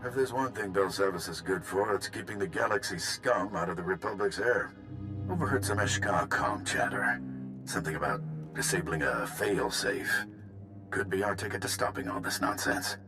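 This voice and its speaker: A man playing a gruff, weary Republic field officer giving laconic mission briefings in a video game, with a gravelly voice. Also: low-pitched, expressive, moderate pace, music in the background. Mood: grave, wry.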